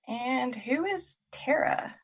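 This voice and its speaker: A woman's voice: high voice, expressive, takes it slowly, husky, full character voice. Woman acting sarcastic, exasperated young woman. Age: young adult. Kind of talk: naturalistic audio drama acting.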